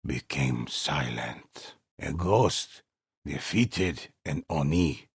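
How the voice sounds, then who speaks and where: An actor playing a grave old male storyteller in a video game, narrating battles of feudal Japan in a measured, solemn, gravelly voice. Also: deep voice, no room sound, studio quality, heavily acted. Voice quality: husky, gravelly.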